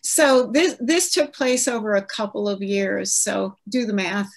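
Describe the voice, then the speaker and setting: A woman in her seventies, an author, talking reflectively about her family on a video call, gentle and with frequent restarts. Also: mid-range voice, a little slow, vocal fry. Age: 70s. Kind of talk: virtual book event conversation.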